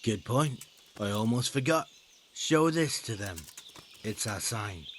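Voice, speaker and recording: Sardonic medieval bandit recruiter. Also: male voice, fairly low pitch, animated, slow pace, a little hiss or hum. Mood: sardonic, instructive. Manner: sardonic, brusque, needling. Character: gruff, mocking male bandit recruiter in medieval Bohemia. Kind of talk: video game cutscene acting.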